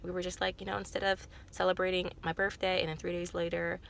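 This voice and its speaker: A woman's voice: on the low side, level tone, quick pace, gravelly, a bit bright, slight background noise. A young woman with a cold, sounding congested and nasal, chatting casually to camera in a rambling way full of fillers and self-corrections. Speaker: vlogger.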